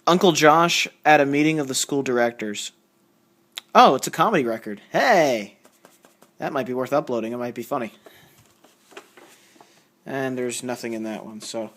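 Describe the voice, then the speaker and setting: A man talks in a medium-pitched voice, casually and without a script. He rambles a little, thinking aloud and reading labels out as a collector showing off finds would. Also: lively intonation, moderate pace, long pauses, fairly bright tone. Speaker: record collector showing a haul. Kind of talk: casual unscripted show-and-tell commentary.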